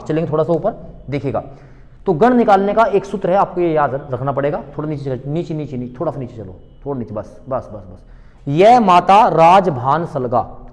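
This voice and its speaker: A man with a high-pitched voice teaches an exam-prep class in a room with a long echo. He keeps firing questions at his students, repeating each one and then supplying the answer in a call-and-response rhythm. He calls his pupils beta and bachche. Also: a little mellow.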